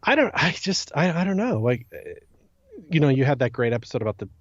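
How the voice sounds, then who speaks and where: A man's voice: fairly high pitch, sing-song, a little fast, no room sound, dull audio. A man co-hosting a podcast, chatting casually about a game and repeating phrases for emphasis. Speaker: podcast co-host.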